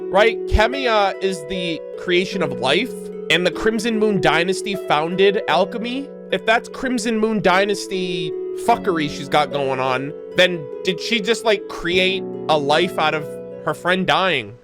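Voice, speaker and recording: A woman streamer giving casual, expressive reaction commentary on a game, informal and prone to swearing. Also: on the low side, measured pace, hardly any pauses, over music.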